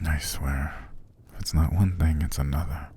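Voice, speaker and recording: A man playing a cruel, haughty, possessive vampire master in an ASMR roleplay, with a gravelly, breathy voice and a condescending, commanding manner. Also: a bass voice, warm tone, slight background noise. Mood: irritated, disdainful. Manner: slow, condescending, commanding, drifting into whispers. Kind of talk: ASMR roleplay, scripted character monologue.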